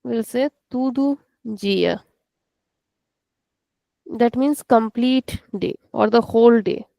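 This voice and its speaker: A woman's voice: a little high, slow, creaky, dark tone, no room sound. A woman with an Indian English accent teaching an online language class, explaining patiently and repeating each point step by step.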